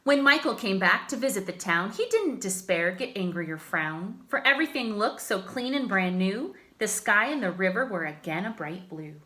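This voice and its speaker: A woman reading a children's picture book aloud like a teacher, with expressive, emphatic phrasing. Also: medium pitch, moderate pace, hardly any pauses. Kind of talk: children's story read-aloud.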